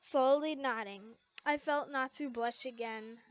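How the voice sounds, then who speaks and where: A woman giving an expressive amateur narration of fan fiction, stumbling over words and rereading as she goes. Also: sits high, unhurried, dull audio.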